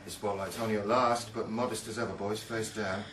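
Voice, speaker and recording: An actor playing a world-weary police detective in a radio drama, with a wry, clipped, brooding delivery. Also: male voice, fairly low pitch, steady tone, normal speed, recorded from a distance, noisy background, lightly acted. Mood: grimly wry. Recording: echoey, off-mic, music underneath.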